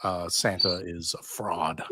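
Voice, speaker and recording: A man's voice: low voice, level tone, slow pace, background noise. A man giving casual comic commentary as a panelist, wry and mock-dramatic.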